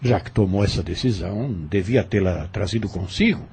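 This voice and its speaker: An actor with a Brazilian accent playing a sly, falsely deferential plantation overseer in an audio drama, measured and insinuating. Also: male voice, sits low, vocal fry, a little mellow, husky, heavily acted. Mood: suspicious. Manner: measured, insinuating, mock-courteous.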